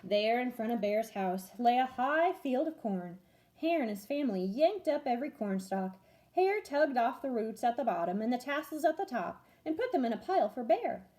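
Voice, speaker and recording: Female storybook reader, teacher type, high-pitched and expressive, big melodic swings for a young audience. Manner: expressive, deliberate phrasing for children. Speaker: teacher reading stories to pupils. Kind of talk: animated children's storybook read-aloud.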